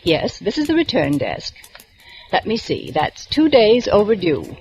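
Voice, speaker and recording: An actress playing a prim young woman librarian who sticks to the rules, with crisp, precise diction, in 1940s radio acting style. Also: mid-range voice, very animated, unhurried, music in the background, low-quality recording. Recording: old radio broadcast with faint hiss.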